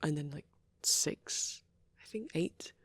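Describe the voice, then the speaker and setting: A man playing a shy, soft-spoken vampire boy in an intimate ASMR roleplay, rambling hesitantly and bashfully close to the microphone, often near a whisper. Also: fairly high pitch, slow pace, breathy edge, no room sound, heavily acted. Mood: bashful, nostalgic. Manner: hesitant, bashful, softly nostalgic, often trailing off.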